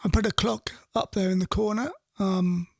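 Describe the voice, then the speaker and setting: A man's voice: sits high, fairly even intonation, normal speed, gravelly, darkish tone, no room sound, clean studio sound. A middle-aged man presenting a radio show in casual, rambling live chat, often repeating his phrases.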